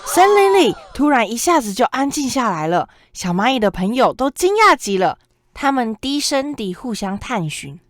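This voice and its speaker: A young woman narrating a scripted children's story in an expressive, dramatic way. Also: a little high, moderate pace, no room sound. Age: young adult.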